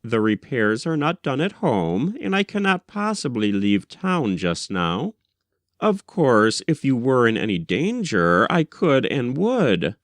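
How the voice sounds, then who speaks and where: A man's voice: medium pitch, sing-song, slow, no echo. A man voicing a doting, condescending husband and self-assured doctor in an audiobook story. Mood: patient, patronizing. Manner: coaxing, condescending. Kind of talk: audiobook character dialogue.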